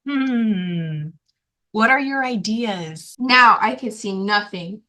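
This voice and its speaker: A woman reading a story aloud to children in an expressive, emphatic way, addressing young listeners directly. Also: mid-range voice, takes it slowly.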